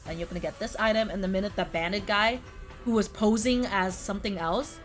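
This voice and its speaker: A woman's voice: medium pitch, brisk. A woman hosting a casual, chatty discussion of video games. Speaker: host of a gaming tier list video. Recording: music playing behind the talk. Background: background music.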